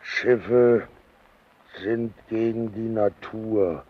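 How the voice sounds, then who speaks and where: An actor playing a gruff, suspicious, domineering man in a German radio drama, halting and insistent, on a dull recording. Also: lowish voice, fairly even intonation. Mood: needling. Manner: halting, searching for words, insistent.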